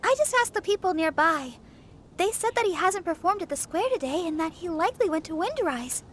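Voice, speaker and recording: A woman's voice: very high voice, lively intonation, a little fast, noisy background. A woman voicing a cheerful, caring young maiden who is eager to help, in an anime video game. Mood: helpful, upbeat. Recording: music behind the voice.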